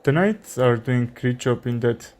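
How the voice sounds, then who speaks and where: A young man with an Armenian accent commentating his own chess game, thinking aloud with frequent fillers and pauses. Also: medium pitch, lively intonation, a little mellow, soft background music. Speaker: chess streamer. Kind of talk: live commentary while playing chess.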